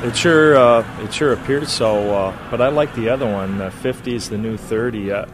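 A man in his fifties, a hockey head coach, talking casually on a radio show, with lots of pauses, "uh"s and restarts. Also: medium pitch, background noise. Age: 50s. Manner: rambling, many uhs and restarts.

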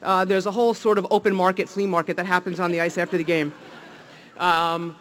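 A man's voice: high voice, level tone, brisk, a bit bright. A man with a New Jersey accent, a league executive giving an enthusiastic public speech at a welcome event, with many fillers and restarts.

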